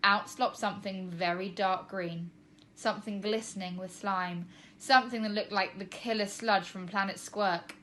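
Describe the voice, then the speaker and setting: A woman's voice: mid-range voice, steady tone, normal speed, fairly bright tone, a little hiss or hum. Woman reading a children's storybook expressively. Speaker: teacher reading aloud.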